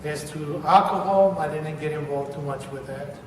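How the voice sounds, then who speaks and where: A man's voice: on the high side, a little hiss or hum. Man in his 60s, Argentine accent, earnest testimony, far-off mic in an echoey hall. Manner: earnest, deliberate, repeats words for emphasis. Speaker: church member giving testimony. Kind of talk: personal religious testimony.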